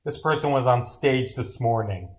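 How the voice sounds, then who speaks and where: A man's voice: medium pitch, normal speed, darkish tone, lightly acted. A man acts out a scatterbrained video game detective. He rambles with cocky confidence, gets sidetracked easily and sounds muddled by his own case. He keeps pushing on regardless. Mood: confident.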